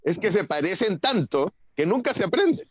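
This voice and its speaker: A man's voice: high-pitched, sing-song, normal speed, crowd noise. A man chatting casually on a video call, telling anecdotes and laughing as he talks. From Chile. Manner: chatty anecdote-teller, laughs while talking. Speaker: conference participant. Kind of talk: casual chat.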